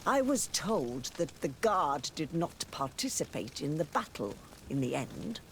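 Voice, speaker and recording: A man playing an authoritative high churchman in a video game, imperious and scolding in manner. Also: very animated, a little slow, a bit bright, a little hiss or hum, full character voice. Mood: indignant. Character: indignant, authoritative high churchman governing an island.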